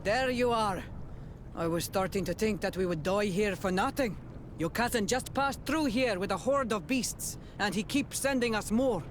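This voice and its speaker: A man's voice: high-pitched, moderate pace, background noise, lightly acted. A man playing an embattled island warrior in a video game, fierce, urgent and resolute. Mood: urgent defiance.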